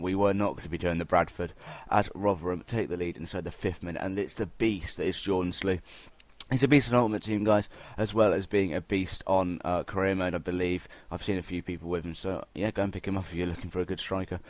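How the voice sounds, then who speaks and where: A man's voice: deep voice, fairly even intonation, a little fast. A young man giving casual, chatty gameplay commentary, talking informally straight to his viewers. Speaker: gaming video host.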